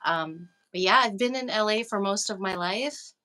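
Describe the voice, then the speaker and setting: Bright-voiced bubbly woman in a studio. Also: mid-range voice, normal speed. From Philippines, grew up in Los Angeles. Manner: bubbly, laughs often, chatty. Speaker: indie music artist, guest. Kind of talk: casual interview over a call. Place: recording studio.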